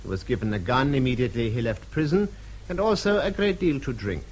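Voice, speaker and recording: An actor playing a cold, menacing senior official in a radio drama, speaking in a formal, clipped and precise way. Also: male voice, medium pitch, expressive, brisk, a little mellow, a little hiss or hum, close to an everyday voice. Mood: coldly insistent.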